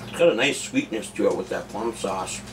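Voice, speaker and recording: A man's voice: lowish voice, normal speed. A middle-aged man casually chatting as he cooks and tastes food in his home kitchen, rambling and offhand, with many restarts. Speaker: food vlogger. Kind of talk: casual cooking and tasting vlog. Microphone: camera mic set back in the room. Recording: distant camera mic with background noise.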